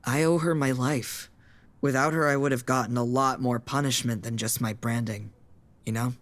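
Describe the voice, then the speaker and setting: A man's voice: medium pitch, steady tone, moderate pace, fairly bright tone, natural voice. A man playing a cautious, newly turned vampire in an audio drama, speaking tentatively and informally. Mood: guarded.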